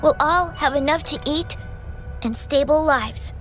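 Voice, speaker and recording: A woman voicing an earnest, plucky little girl in an anime game, with halting childlike speech. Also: high-pitched, music in the background. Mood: hopeful, determined.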